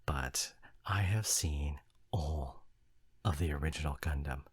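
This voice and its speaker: A man playing a low-voiced, exasperated anime fan boyfriend. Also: slightly rough, full character voice. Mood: indignant, passionate. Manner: halting, repeats words, sighing emphatic rant. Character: exasperated, flustered young anime fan boyfriend, opinionated and snobbish. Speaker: audio roleplay performer. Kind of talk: ASMR boyfriend roleplay monologue, argumentative.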